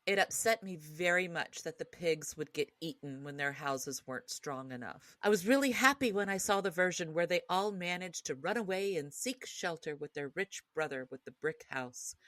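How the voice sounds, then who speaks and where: A woman's voice: on the low side, expressive, normal speed, hardly any pauses, fairly bright tone, dry recording. A woman co-hosting a casual podcast discussion about stories, chatty and easily amused as she talks. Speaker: podcast co-host.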